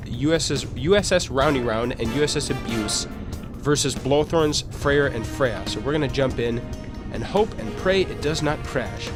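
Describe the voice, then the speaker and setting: A young man with a congested voice, commentating a naval battle game in a rambling, chatty way with fillers and restarts. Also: medium pitch. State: congested from a cold. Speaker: let's play host. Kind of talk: casual gameplay commentary. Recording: game music playing under the voice. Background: game music.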